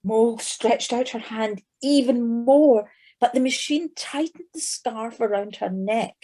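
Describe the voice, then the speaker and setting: A woman's voice: a little high, unhurried, mellow. A woman narrating a children's animal tale in an audio play, as a scripted storyteller.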